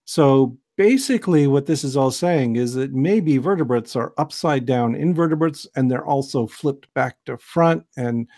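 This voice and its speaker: An American man giving a relaxed, digressive science lecture on a video call, with many fillers and restarts. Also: mid-range voice, normal speed, few pauses. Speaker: host, biology lecturer.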